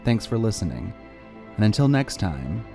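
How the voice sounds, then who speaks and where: A man's voice: on the low side, very animated, normal speed, creaky, warm tone, faint music behind. A man reading a scripted podcast outro as the show's host.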